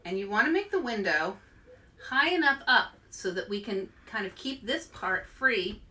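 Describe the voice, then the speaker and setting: A woman reading aloud and demonstrating for children in an animated, expressive way, as a children's author and host. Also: medium pitch, normal speed, distant mic. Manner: animated, expressive delivery to young listeners.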